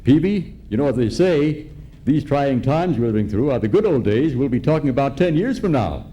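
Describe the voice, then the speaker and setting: A man plays a pompous middle-aged gentleman of old-time radio comedy. His tone is mellow and his pitch swings widely as he blusters emphatically through every line. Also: big pitch swings, normal speed, muffled recording, close to an everyday voice. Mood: flustered. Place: live on stage in a large hall.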